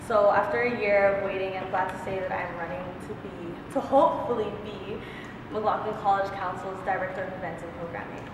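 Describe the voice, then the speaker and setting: A woman's voice: mid-range voice, fairly even intonation, fairly quick. Young woman, chill campaign speech, echoey hall, distant mic. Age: young adult. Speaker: student council candidate. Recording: echoey hall from far off, noisy.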